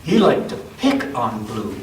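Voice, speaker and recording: Expressive male storyteller in an echoey room. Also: fairly low pitch. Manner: dramatic, deliberate pauses and emphasis; interactive, chatting with listeners. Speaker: storyteller reading to an all-ages church group. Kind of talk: read-aloud children's story with casual asides. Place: church, indoors. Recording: echoey room, distant mic, faint noise.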